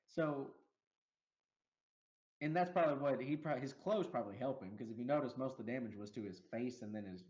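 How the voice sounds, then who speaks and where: A man co-hosting a casual movie review podcast, animated and laughing often as he acts out lines from the film. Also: mid-range voice, brisk, highs cut off. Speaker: podcast co-host.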